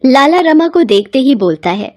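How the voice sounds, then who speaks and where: A woman's voice: high-pitched, big pitch swings, normal speed, darkish tone, no room sound, studio quality. A woman narrator reading a scripted moral bedtime story.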